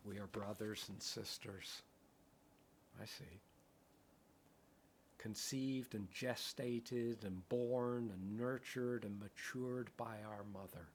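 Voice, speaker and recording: A male meditation teacher gives an unhurried, contemplative talk and lets poetic images unfold at a very slow pace. He often pauses to check in with his listeners with a quick "right?". A faint hiss sits quietly behind his voice. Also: on the low side. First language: English.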